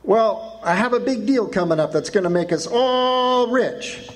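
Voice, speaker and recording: High, swingy-voiced brash rich boy, played by a man, community radio play. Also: expressive, measured pace, soft background music. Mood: jovial. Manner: brash, jovial. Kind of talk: live amateur radio drama.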